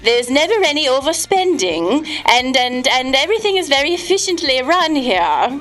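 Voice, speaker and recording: A woman's voice: sits high, big pitch swings, moderate pace, a bit bright. A woman on a phone call, answering hesitantly in a mock-formal way and playing along. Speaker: prank call recipient.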